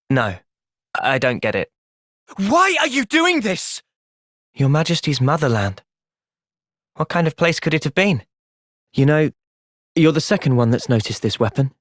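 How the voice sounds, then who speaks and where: A man voicing an earnest, thoughtful young fighter in a video game, measured and sincere but capable of impassioned intensity. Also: on the high side, fairly bright tone, no echo, clean studio sound. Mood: thoughtful, steady. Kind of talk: video game cutscene voice acting.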